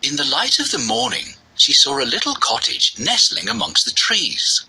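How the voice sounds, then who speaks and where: A man narrating a scripted children's story with a raspy, creaky voice. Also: fairly high pitch, very animated, normal speed, pushed and bright, soft background music, rough recording.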